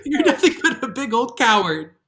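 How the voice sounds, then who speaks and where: A woman's voice: on the high side, sing-song, moderate pace, a bit bright, pitched above the performer's own voice. Young heroine for audio drama, woman acting: plucky, protective girl, earnest, indignant yet kind. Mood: indignant scolding. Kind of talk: table read of an audio drama.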